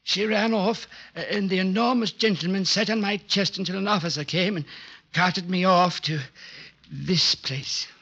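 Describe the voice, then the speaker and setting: A man's voice: sits high, moderate pace, sharp tone, heavily acted. An actor playing a timid, fussy male butler in a 1940s radio drama, polite and easily flustered. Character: timid, prim middle-aged male butler. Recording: dull lo-fi old radio recording.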